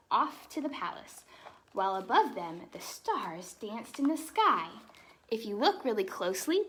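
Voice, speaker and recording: A woman reading a children's storybook in an expressive, animated way, with explanatory asides to young listeners. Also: medium pitch, slight background noise.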